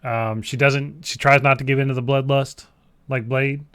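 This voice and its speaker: A man of average pitch, recorded dry with no room sound. He co-hosts a casual show, giving blunt and sometimes profane commentary on films and games. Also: level tone, moderate pace, a bit bright, smooth, dry recording. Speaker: show co-host.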